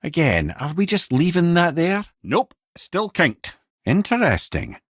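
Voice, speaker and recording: A man's voice: a little high, wildly swooping pitch, unhurried, slightly rough, dry recording, studio quality, heavily acted. A man playing a wry, worldly-wise old storytelling sage with a Scottish accent in a video game. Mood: conversational. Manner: wry, lilting, theatrical storyteller. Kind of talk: video game character acting, storytelling and banter.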